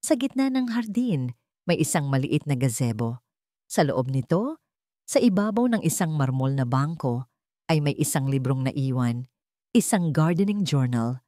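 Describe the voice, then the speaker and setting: A woman's voice: low-pitched, sing-song, unhurried, warm tone, no echo, studio quality. A woman with a Filipino accent narrating a scripted romance story.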